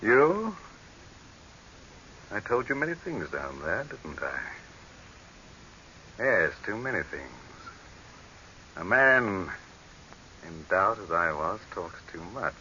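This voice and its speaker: An actor playing a proud, tyrannical dictator in a theatrical 1930s radio drama style, declamatory and imperious. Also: male voice, on the low side, sing-song, takes it slowly, pauses often, sharp tone, muffled recording, full character voice. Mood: coldly amused. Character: tyrannical, proud male dictator, cynical and desperate. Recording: hissy, band-limited 1930s radio recording.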